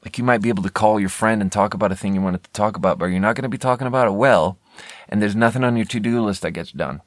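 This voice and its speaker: Low-voiced American man, casual solo podcaster. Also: quick pace, no echo, clean studio sound. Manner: candid, conversational, self-interrupting. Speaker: podcast host. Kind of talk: casual rambling solo talk.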